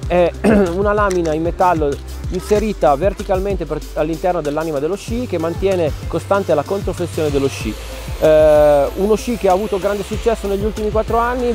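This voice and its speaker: A man's voice: sits high, darkish tone. A man presenting a new ski model outdoors at a brand stand, explaining technical details with fillers like "eh". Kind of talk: product presentation.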